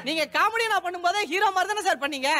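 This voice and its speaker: A man's voice: very high voice, racing, sharp tone. A man making a comic prank phone call, over-polite and tacking "sir" onto everything he says. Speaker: prank caller.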